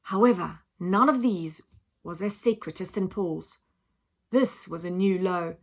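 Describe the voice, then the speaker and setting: A woman reading a children's book aloud in an expressive, dramatic, emphatic style, like a teacher telling a story to a class. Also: fairly low pitch, unhurried. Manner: dramatic, emphatic, acts out sound effects. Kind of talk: expressive children's audiobook narration.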